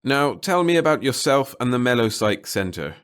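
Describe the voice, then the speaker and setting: A man's voice: mid-range voice, sing-song, normal speed, fairly bright tone, clear, resonant tone, pitched above the performer's own voice. Tall male reporter, read by a man, bored and dry, plain curt delivery, sci-fi audiobook character. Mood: dry, patient.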